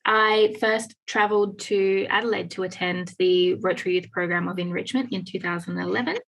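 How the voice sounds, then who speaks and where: A woman's voice: on the low side, fairly even intonation, vocal fry, a bit bright, rough recording. An Australian woman in her late twenties, the club president, reading a short bio from notes. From rural New South Wales. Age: late 20s. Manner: brisk, reads from notes.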